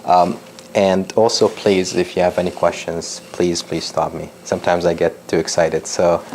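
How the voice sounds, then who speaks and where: A young man from Lebanon with a low, gravelly voice gives a public science talk. He talks hesitantly, with many ums and restarts, and a faint hiss of noise sits under the recording. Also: flat delivery, moderate pace, a little mellow, low-quality recording. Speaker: PhD researcher giving a talk.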